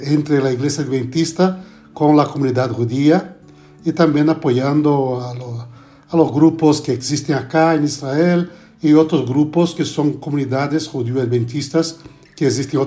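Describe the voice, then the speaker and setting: Brazilian pastor speaking accented Spanish. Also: male voice, mid-range voice. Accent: Brazilian Portuguese. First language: Portuguese. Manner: relaxed, adds "no?" tags, halting Spanish. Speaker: pastor and guest theologian. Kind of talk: podcast interview.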